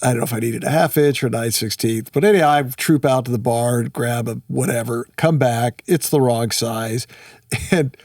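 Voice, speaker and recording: A man's voice: mid-range voice, moderate pace, a little mellow, no room sound, clean studio sound, recorded from a distance. A man hosting a casual conversational interview in a folksy, informal way. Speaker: podcast host.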